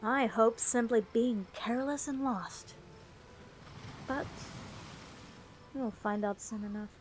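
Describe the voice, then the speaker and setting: A woman playing a cautious, level-headed captain of explorers in an audio drama, speaking in a measured, commanding way. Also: on the high side, fairly bright tone, a little hiss or hum, low-quality recording.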